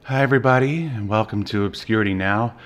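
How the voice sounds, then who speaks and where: Halting, low-pitched man hosting a podcast. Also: soft background music. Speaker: podcast host. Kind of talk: casual podcast banter.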